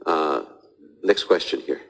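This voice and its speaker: Male host, very low voice, thoughtful and hedging, live audience Q&A. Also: slow, poor audio. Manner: thoughtful, hedging, frequent restarts. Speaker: radio host answering audience questions. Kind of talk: live call-in Bible Q&A.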